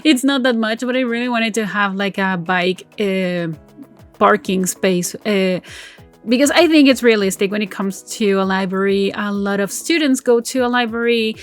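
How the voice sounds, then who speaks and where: A woman's voice: medium pitch, moderate pace, faint music behind. A woman whose first language is Spanish, giving casual voice-over commentary on a building game, rambling through her choices with fillers and self-corrections and often laughing at herself. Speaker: game build video creator.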